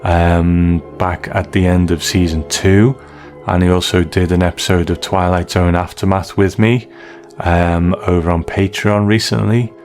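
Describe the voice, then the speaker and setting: A man's voice: low-pitched, level tone, a little slow, a little mellow, faint music behind. A middle-aged British man talking in a chatty, conversational way as a podcast host, casually going through listener feedback. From United Kingdom.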